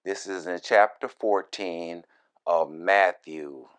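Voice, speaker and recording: A man who is a minister reads scripture verse by verse and explains it in deliberate, broken short phrases with folksy, informal asides. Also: deep voice, fairly bright tone, clean tone. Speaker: minister, Bible teacher.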